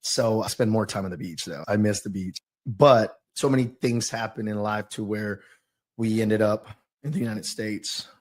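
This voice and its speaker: A man's voice: lowish voice, steady tone, normal speed. A man from southern Mexico telling his personal story as a podcast guest, reflective, a little nervous and often searching for words. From Oaxaca, southern Mexico. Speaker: guest, youth soccer coach and business owner.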